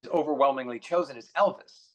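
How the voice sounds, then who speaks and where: A man's voice: medium pitch, fast talker, dull audio. A man speaking casually as an author guest in a conversation, rambling a little, with a joking, self-deprecating way of talking.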